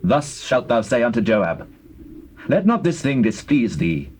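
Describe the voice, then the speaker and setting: An actor playing a commanding, scheming biblical king in dramatized scripture, with a British accent and measured theatrical delivery. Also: medium pitch, sing-song, darkish tone, slightly rough. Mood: calm, reassuring. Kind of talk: dramatized scripture acting in archaic English. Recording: hissy old cassette tape with faint ambience.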